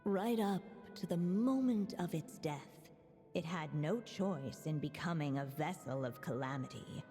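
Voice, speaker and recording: Slow, echoing storybook narrator. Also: female voice, deep voice, warm tone. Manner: measured, solemn, literary. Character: gentle storybook narrator, an unseen female storyteller. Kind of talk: fairy-tale narration in a video game. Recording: long cavernous reverb.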